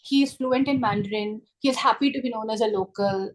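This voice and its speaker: A woman reviewing a short story in a webinar, speaking over a video call in a chatty, animated way, often adding "right?" and "you know". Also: fairly high pitch, a little fast, rough recording. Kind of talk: webinar book discussion.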